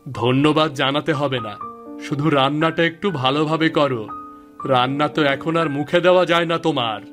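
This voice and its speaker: A man acts out a helpful, good-natured village husband in a cartoon folk tale. His voice sits a little high for a man, and music plays clearly behind him. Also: normal speed, fairly bright tone, close to an everyday voice. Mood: teasing, placating. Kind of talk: scripted cartoon dialogue in a Bengali folk tale.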